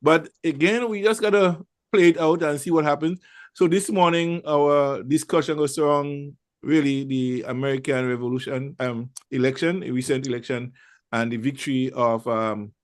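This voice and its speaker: A man's voice: medium pitch, moderate pace, dry recording, studio quality. A man hosting a casual radio talk show, rambling with many fillers and restarts. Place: lives in Toronto.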